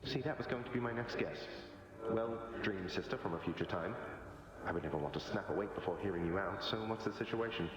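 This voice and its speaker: A man's voice: lowish voice, fairly quick, lightly acted. A man voicing a wry, easygoing young underworld prince in a video game, with a sardonic, casual manner. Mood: skeptical, wry. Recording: long echoing reverb with music behind.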